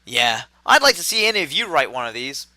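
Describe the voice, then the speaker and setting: A man's voice: medium pitch, sing-song, fairly quick, pushed and bright. A man delivering scripted comic banter in a radio drama.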